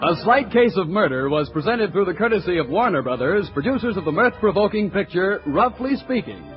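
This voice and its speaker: A male announcer in classic 1940s radio sponsor style. His voice is high and swings expressively. Music plays behind him on a dull old recording. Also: sing-song, fairly quick.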